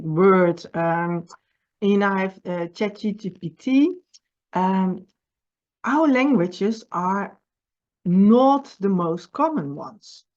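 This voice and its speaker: Dutch-accented woman publisher, middle-pitched, earnest and halting, on a slightly muffled recording. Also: a little mellow. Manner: earnest, halting, repeats words and restarts. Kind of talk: personal video message with writing advice.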